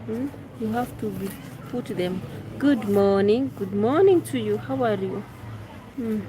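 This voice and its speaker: A woman's voice: mid-range voice, measured pace. A woman with a Kenyan accent chats casually as a live streamer, musing unhurriedly, repeating phrases and answering viewers. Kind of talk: casual chat, reading and answering viewers' questions. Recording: clearly noisy background.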